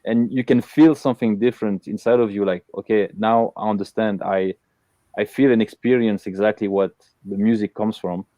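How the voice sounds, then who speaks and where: A man's voice: on the low side, fairly even intonation, moderate pace, warm tone, no echo. A man from Belgium hosting a casual live interview, talking with many fillers and restarts. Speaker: host.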